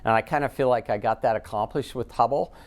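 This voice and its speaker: An American man with a smooth, clear voice of average pitch gives unscripted interview answers. He talks haltingly, filling gaps with ums. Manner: halting, frequent ums while thinking. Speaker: astronaut, interview guest.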